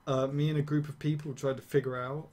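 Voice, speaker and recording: A young man streaming a game, rambling and ranting casually with swearing and quick laughs. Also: fairly high pitch, little pitch movement, a little mellow. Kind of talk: casual gameplay commentary.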